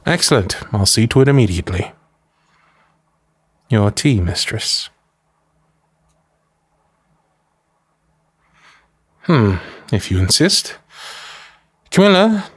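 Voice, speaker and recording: Man playing a low-voiced, formal, devoted manservant. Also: dry recording. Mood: coolly polite. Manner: formal, deferential, measured, drily disapproving. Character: devoted, protective manservant to a noble lady. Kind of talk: scripted ASMR roleplay acting.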